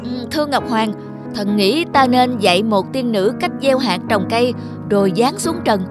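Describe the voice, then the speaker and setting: A man playing a wind god who serves heaven, formal and forceful, in a cartoon fairy tale. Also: extremely high pitch, lively intonation, moderate pace, over music. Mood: deferential. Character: male wind god, deferential courtier, harsh enforcer. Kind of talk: animated fairy tale acting.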